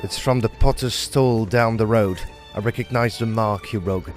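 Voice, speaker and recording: An actor playing a gruff, dismissive buyer haggling over antiquities in an audio drama. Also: male voice, on the low side, moderate pace, soft background music, natural voice. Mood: brusque. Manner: brusque, dismissive.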